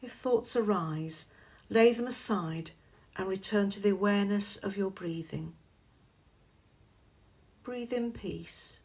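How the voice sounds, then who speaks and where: Female meditation guide, medium pitch, very unhurried scripted delivery, gentle and measured.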